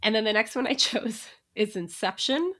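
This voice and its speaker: A woman's voice: on the high side, lively intonation, moderate pace, a bit bright. A woman co-hosting a podcast in casual chat, talking easily and laughing often between remarks. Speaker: podcast co-host.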